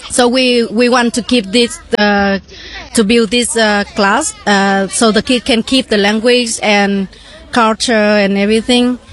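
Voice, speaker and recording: A woman with a Vietnamese accent who teaches a community language class, answering interview questions haltingly at an outdoor festival booth. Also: on the high side, fairly even intonation. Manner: halting, searching for words.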